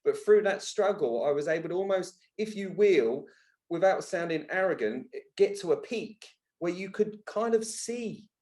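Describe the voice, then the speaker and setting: A man's voice: high-pitched, expressive, normal speed, darkish tone. A man in his thirties talking as a podcast guest in a conversational interview, earnest and emphatic, often repeating words for emphasis. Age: 30s. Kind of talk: conversational personal-development interview.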